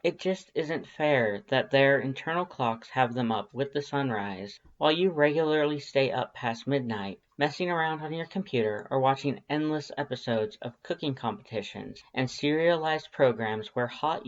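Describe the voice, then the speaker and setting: An expressive audiobook narrator with a middling pitch, reading fiction in a wry, conversational manner. The home recording sounds a bit dull. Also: normal speed, few pauses. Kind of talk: expressive scripted story narration.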